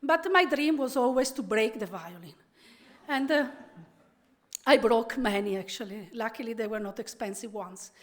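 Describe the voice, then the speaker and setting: A middle-aged Italian woman with a high voice speaks English with an Italian accent. She gives a conference keynote in a friendly, chatty way and often pauses to gather her words. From Italy. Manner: friendly, conversational, frequent pauses and fillers.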